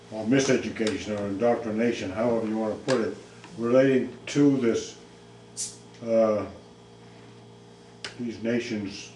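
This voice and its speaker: A man's voice: fairly low pitch, dark tone. A man in the audience asking a question, searching for words and rephrasing as he goes. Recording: echoey room, mic far off, faint noise.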